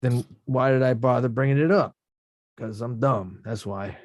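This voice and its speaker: A man reading the part of a rough-talking young male boxer at a script table read, slangy and self-mocking. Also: mid-range voice, moderate pace, no echo, clean studio sound, lightly acted.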